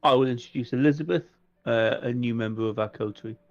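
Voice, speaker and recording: A man's voice: medium pitch, quick pace, soft background music. A man playing a tabletop roleplaying game, switching casually between in-game lines and questions, with slightly dull sound.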